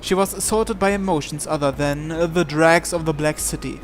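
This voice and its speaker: A man's voice: fairly high pitch, moderate pace, slight background noise. A man reading visual novel narration aloud, sometimes stumbling and repeating words, with music behind. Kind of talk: live read-aloud narration in English.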